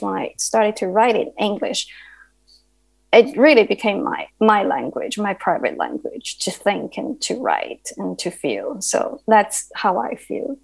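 Woman author, native Chinese speaker, creaky voice, reflective and hesitant on a festival panel. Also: mid-range voice, a little mellow, low-quality recording. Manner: thoughtful, halting with frequent restarts and fillers. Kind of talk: panel discussion.

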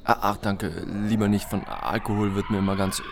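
An actor playing a bookish, fussy, excitable ornithologist in a radio drama, with a pedantic, polite and hesitant way of talking. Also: male voice, fairly low pitch, brisk, a little hiss or hum, close to an everyday voice. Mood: awkward, hesitant. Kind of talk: German audio drama acting.

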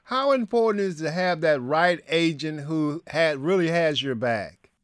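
A man hosting a broadcast interview, asking brief, steady questions. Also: a little high, a little slow, clear, resonant tone, dry recording, clean studio sound. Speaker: radio interviewer, host.

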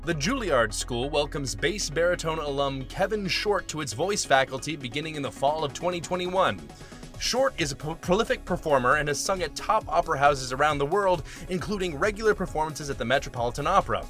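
A man's voice: on the high side, lively intonation, brisk, a bit bright, soft background music. A man co-hosting a podcast, moving between scripted news reading and casual banter. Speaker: podcast co-host.

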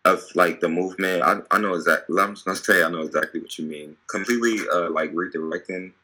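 Low-voiced young male host. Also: pushed and bright. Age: young adult. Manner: halting, full of fillers like "you know". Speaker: podcast host. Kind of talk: casual interview chat.

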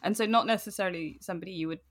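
British woman chatting on a podcast, talks quick, lowish voice, animated storyteller. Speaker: podcast guest, writer. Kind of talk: casual chat.

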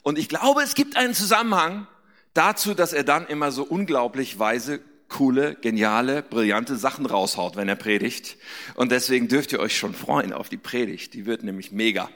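A man's voice: mid-range voice, moderate pace, fairly bright tone. A man hosting a church service, giving an effusive, emphatic and casual stage introduction. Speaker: church host introducing preacher. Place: large church hall. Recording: echoey hall with long reverb.

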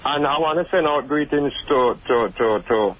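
A man's voice: mid-range voice, normal speed, a little hiss or hum. Earnest Jamaican man on a phone line. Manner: earnest, emphatic, repeats himself. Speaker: musician guest. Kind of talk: casual radio interview chat.

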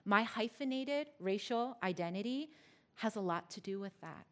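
A woman from Philadelphia speaks to a live audience. Her pitch sits in an ordinary middle range, and she tells her story with playful asides to the people listening. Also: animated, normal speed, fairly bright tone. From Philadelphia, United States. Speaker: speaker giving a talk. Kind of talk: personal talk to a live audience.

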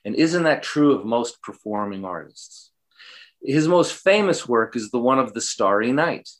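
A man speaking as an interview guest, giving earnest, deliberate and emphatic answers in a reflective way. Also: mid-range voice, lively intonation.

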